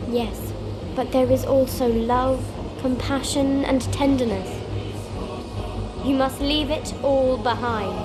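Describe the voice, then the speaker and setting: High-voiced, sorrowful ancient vampire girl. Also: fairly even intonation. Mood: solemn, sorrowful. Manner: earnest, solemn, measured. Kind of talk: dramatic video game cutscene acting. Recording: loud music behind.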